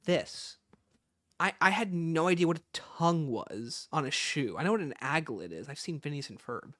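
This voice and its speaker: A man's voice: on the high side, sing-song, moderate pace, fairly bright tone. A man hosting a livestream, casually reading out questions and answering them with emphatic opinions.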